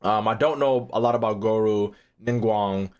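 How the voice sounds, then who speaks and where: A man's voice: on the low side, moderate pace, darkish tone, clean tone. A man streaming casually, thinking aloud in a rambling way and swearing freely as he chats. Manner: rambling, foul-mouthed.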